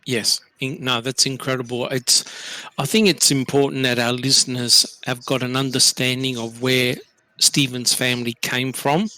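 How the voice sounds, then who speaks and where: A man's voice: medium pitch, level tone, moderate pace, bright, forceful tone, no room sound, low-quality recording. An Australian man hosting a casual group discussion, leading the talk with questions and lots of fillers. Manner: leads with questions, many fillers. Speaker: podcast host.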